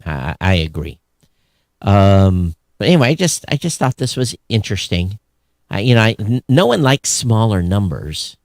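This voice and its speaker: A man's voice: on the low side, big pitch swings, normal speed, dry recording, studio quality. A man talking casually and bluntly as a podcast co-host about his industry.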